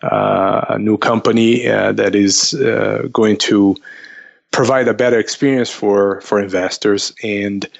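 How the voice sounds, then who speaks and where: A man with a fairly low voice speaks as a podcast guest. He explains things haltingly, often repeating words as he goes. The audio is a little dull and lo-fi. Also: fairly even intonation, vocal fry. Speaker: investment counselor, podcast guest. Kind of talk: conversational interview answers.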